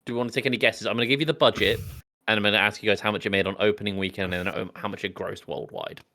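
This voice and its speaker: A man's voice: fairly low pitch, rapid-fire, creaky, sharp tone. A man co-hosting a casual film podcast with jokey, sweary banter. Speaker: podcast co-host.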